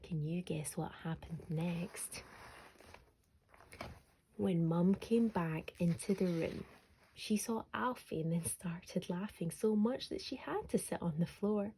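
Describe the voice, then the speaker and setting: A woman storyteller narrating a children's bedtime story expressively, sometimes dropping to a hush. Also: lowish voice, takes it slowly, a little hiss or hum.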